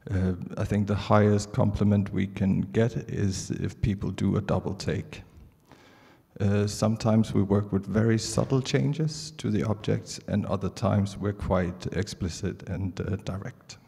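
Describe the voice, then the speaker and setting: Conference speaker: Danish man, middle-aged, mellow and gravelly, halting delivery into a handheld mic in a reverberant auditorium. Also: on the low side, fairly even intonation. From Denmark. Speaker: artist presenting at a conference. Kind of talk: prepared stage presentation. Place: large indoor theatre stage. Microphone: handheld microphone.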